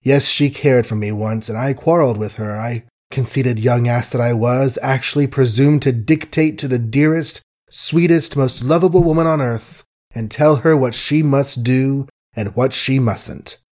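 A man's voice: medium pitch, normal speed, darkish tone, lightly acted. Guy reading a lovesick young gent, beating himself up, all worked up and desperate. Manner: impassioned, desperate, self-mocking. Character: lovesick, self-reproaching young gentleman. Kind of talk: audiobook character voice.

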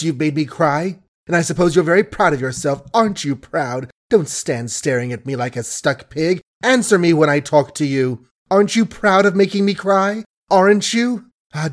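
A man's voice: mid-range voice, close to an everyday voice. A man voicing a scornful, tearful young woman who berates her suitor in emphatic, repetitive rants, in a storybook reading. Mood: angry, tearful. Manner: emphatic, repetitive, ranting and mocking.

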